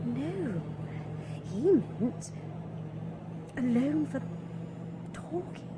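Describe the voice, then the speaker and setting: Shallow cheerful young woman for radio drama: bright, animated, noise behind. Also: high voice, extremely slow, exaggerated voice. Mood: chatty. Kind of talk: BBC radio drama acting.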